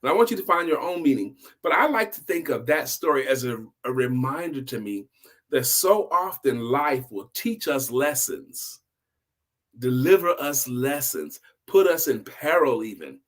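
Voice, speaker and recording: A man's voice: medium pitch, big pitch swings, moderate pace. A man hosting an informal story time for adults, telling his tale conversationally and repeating phrases for emphasis. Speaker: host, storyteller.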